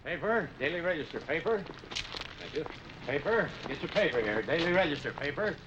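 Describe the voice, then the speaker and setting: An actor playing a newspaper vendor in a 1940s crime film, calling out in a repetitive singsong. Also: male voice, fairly high pitch, lively intonation, fairly quick, lightly acted. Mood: hawking. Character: street newspaper vendor crying out his papers. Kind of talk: 1940s film noir acting. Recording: muffled, noisy old film soundtrack.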